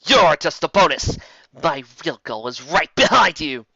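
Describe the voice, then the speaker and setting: A man's voice: on the high side, very animated, measured pace, vocal fry, bright, forceful tone, no echo, highs cut off, voice pushed up, full character voice. A man voicing a brave pegasus barbarian queen, a bold heroine in a fantasy story. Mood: defiant.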